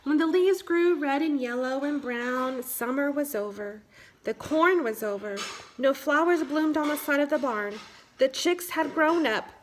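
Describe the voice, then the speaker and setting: A woman with a high-pitched voice reads a children's storybook aloud. She keeps her phrasing measured and simple, suited to young listeners. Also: a little hiss or hum, low-quality recording. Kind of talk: picture-book read-aloud narration.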